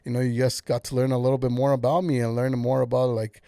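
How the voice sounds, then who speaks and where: A man's voice: mid-range voice, flat delivery, fast, warm tone, no echo, studio quality. A young man hosting his own podcast, talking alone in a casual, encouraging way that rambles with lots of filler words. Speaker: podcast host. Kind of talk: casual solo podcast monologue.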